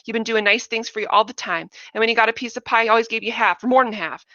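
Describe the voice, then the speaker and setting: A woman's voice: medium pitch, fairly even intonation, fast talker, gravelly, pushed and bright, no echo. A woman voicing a fat little old aunt who scolds and nags sharply in a storybook reading. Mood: scolding. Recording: dull lo-fi dry recording.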